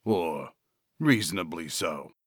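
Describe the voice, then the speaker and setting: A man's voice: sits low, expressive, slow pace, slightly rough, dry recording, studio quality, voice pushed down, heavily acted. A man voicing a stunted, irritable male schemer in an audiobook, with a gargling, curt, evasive manner. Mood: dry. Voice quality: gargling, throaty. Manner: grumbling, curt, sneering.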